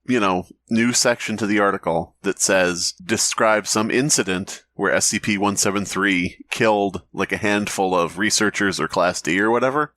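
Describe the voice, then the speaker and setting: A man's voice: fairly low pitch, normal speed, few pauses, a bit bright, no echo. A man co-hosting a podcast in casual, jokey conversation, given to riffing and chuckling. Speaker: podcast co-host. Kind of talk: casual comedic podcast chat.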